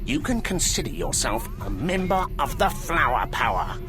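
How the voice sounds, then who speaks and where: A man playing an excitable, shady robot gang boss in a video game, with a bossy, theatrical delivery. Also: on the high side, normal speed, music in the background. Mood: inviting. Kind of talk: animated video game dialogue.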